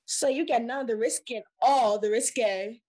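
A woman's voice: on the high side, moderate pace, darkish tone, close to an everyday voice. A woman playing a breezy, coy singing-trio spokeswoman in a comic 1940s-style radio ad, drawing her words out. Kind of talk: 1940s radio ad acting, comedic.

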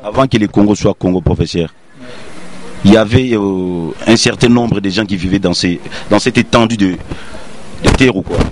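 Low-voiced male interviewer. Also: moderate pace, noisy background. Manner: questioning, hesitant with fillers.

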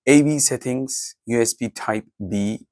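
A man, a tech reviewer giving an off-the-cuff walkthrough of projector menus in a halting way, with many restarts. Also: medium pitch, a little mellow, no room sound, studio quality. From Turkey. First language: Turkish.

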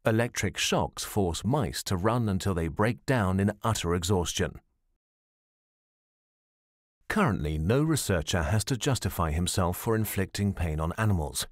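A man reading scripted documentary narration in a measured, formal and serious way, as a studio voice-over. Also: low-pitched, lively intonation, no room sound.

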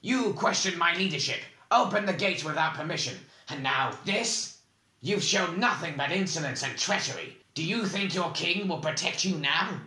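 A man's voice: a little high, sing-song, measured pace, pushed and bright, echoey room, voice pushed up, exaggerated voice. Man acting out a furious, imperious tyrant magician, raised voice. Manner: menacing, imperious. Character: furious, sneering, tyrannical bald male magician.

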